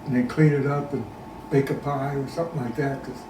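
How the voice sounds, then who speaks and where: A man in his nineties, interviewed at a private home, telling childhood memories in a plain, unhurried way. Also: medium pitch, steady tone. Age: 90s. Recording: roomy sound, distant mic, background noise.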